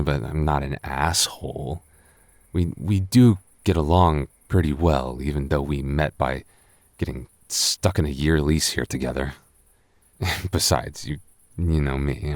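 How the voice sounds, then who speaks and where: A man's voice: rumbling low, a little mellow, no room sound, clean studio sound, exaggerated voice. A young man acting a tipsy, flustered roommate hiding a crush in an intimate boyfriend roleplay, stammering and defensive in a conversational way. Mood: teasing, casual.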